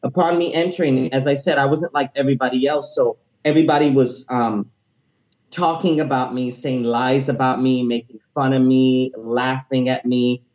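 A man in his late twenties with a high tenor voice, telling his personal story as an interview guest in an animated, energetic way. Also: moderate pace, lo-fi sound. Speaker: interview guest, teacher and music minister.

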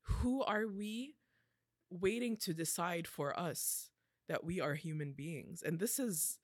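A woman's voice: lowish voice, slow, no room sound. A woman in her twenties hosts a podcast and shares a personal reflection. She talks earnestly, and her intensity builds as she goes. The recording is dry and close. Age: 20s. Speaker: podcast host.

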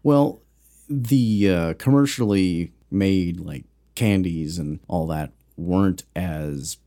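A man's voice: deep voice, takes it slowly, mellow. A man co-hosting a casual chat, rambling and joking with plenty of fillers and restarts. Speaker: podcast co-host.

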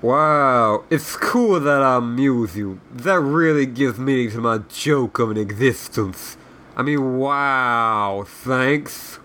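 A man's voice: mid-range voice, slow, sharp tone. A man voicing a surly, self-loathing sprite guide who rambles sarcastically and swears as he insults, in a comic read-aloud. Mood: scornful, bitter. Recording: clearly noisy background.

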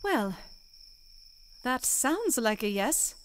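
A woman's voice: fairly high pitch, big pitch swings, very slow, a little hiss or hum, full character voice. A woman playing a bold young barmaid in a fantasy audio drama, earnest, questioning and stubborn. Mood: indignant, stubborn. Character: bold, determined young barmaid setting out adventuring.

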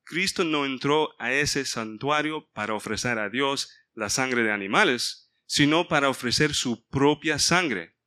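Bright-voiced man leading a Bible study. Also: medium pitch, a little slow, hardly any pauses. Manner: mixes in English words, stops to ask the group for help. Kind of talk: informal Bible study sermon.

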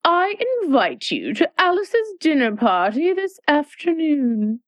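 A woman voicing a stern, sharp-tongued queen in a storybook reading, slow and scolding. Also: sits high, very animated, no room sound, muffled recording, pitched above the performer's own voice.

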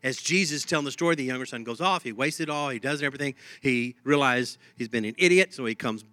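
Emphatic Texan preacher, bright tone. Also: male voice, medium pitch, quick pace, no echo. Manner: emphatic, conversational preaching with repeated phrases. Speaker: pastor. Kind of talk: sermon.

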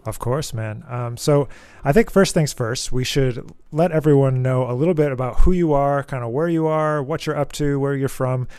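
A man's voice: mid-range voice, normal speed, hardly any pauses, clean tone, dry recording, soft background music. Casual male podcast host, dry sound. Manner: relaxed, friendly, loose phrasing. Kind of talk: casual interview chat.

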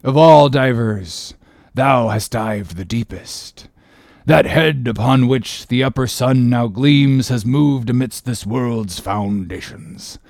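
A man's voice: mid-range voice, gravelly, a little mellow, no echo, clean studio sound. A man voicing a brooding, grandiloquent whaling ship captain in a solemn, intense, declamatory way for an audiobook. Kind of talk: dramatic audiobook character reading.

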